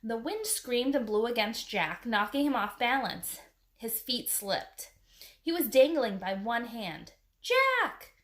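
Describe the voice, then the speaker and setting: A woman's voice: on the high side, animated, measured pace. A woman reading a children's adventure story aloud as its narrator. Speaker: storybook reader. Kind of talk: children's book read aloud.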